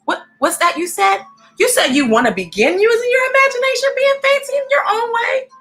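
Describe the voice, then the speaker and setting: An animated, encouraging woman hosting a storybook read-aloud, speaking directly to children in an expressive way. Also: extremely high pitch, brisk.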